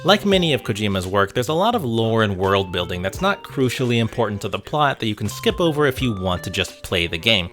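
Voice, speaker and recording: A man's voice: lowish voice, sing-song, a little fast, hardly any pauses, fairly bright tone. A man narrating a scripted video essay about games in a wry, conversational way, with asides to the viewer. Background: music.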